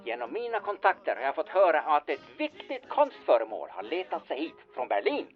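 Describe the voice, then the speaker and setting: A man's voice: on the high side, huge pitch swings, dull audio, faint music behind. A man playing a bossy, scheming small-time fixer in an audio drama, insistent, sardonic and conspiratorial. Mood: bossy, urgent.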